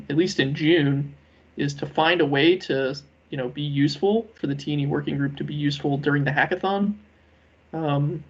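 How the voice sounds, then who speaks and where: Gravelly, even-toned man on a dull conference call. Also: on the high side. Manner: measured, hesitant, halting delivery with many ums. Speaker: working group lead presenting a proposal. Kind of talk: business presentation on a conference call.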